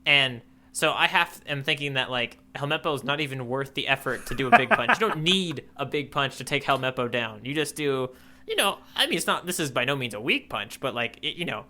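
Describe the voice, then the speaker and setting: A man's voice: mid-range voice, expressive, brisk, bright, forceful tone. A man co-hosting a podcast, chatting casually and enthusiastically, rambling as he searches for his words. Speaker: podcast co-host. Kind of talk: casual manga discussion chat.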